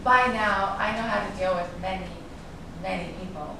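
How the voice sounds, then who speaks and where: A woman's voice: mid-range voice, moderate pace, vocal fry, close to an everyday voice. A woman playing a defiant, guarded actress in a staged drama reading, with a sarcastic, cutting delivery. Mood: cool, wry. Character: defiant, guarded actress in love with a suspected man. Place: echoey room at a staged reading. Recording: echoey room, distant mic, noisy background.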